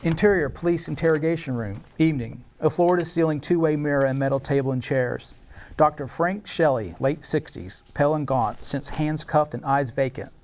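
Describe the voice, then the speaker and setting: A man's voice: fairly high pitch, normal speed, a little mellow. A man reading scene descriptions and stage directions as the narrator of a screenplay table read.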